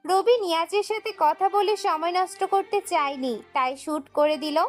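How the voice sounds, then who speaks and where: A woman's voice: very high voice, measured pace, soft background music. A woman narrating a scripted dramatic story as its storyteller.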